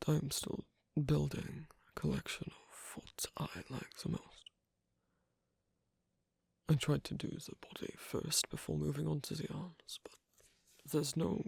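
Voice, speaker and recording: A performer voicing an asocial werewolf in an ASMR roleplay, hesitant and gently instructive, in a whispery, breathy, creaky voice. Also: mid-range voice, little pitch movement, measured pace, no room sound, clean studio sound, full character voice. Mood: shy, gentle. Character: asocial werewolf on a first date, patiently teaching sewing.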